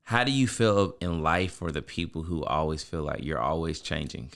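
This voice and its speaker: Male podcast host, extremely low pitch, smooth clear tone, chatty check-ins. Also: moderate pace, fairly bright tone. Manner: conversational, frequent "you know what I'm saying" check-ins. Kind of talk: casual podcast interview.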